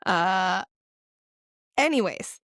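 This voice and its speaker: Animated, teasing woman podcast co-host. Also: mid-range voice, extremely slow, no echo, studio quality. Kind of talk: casual chat.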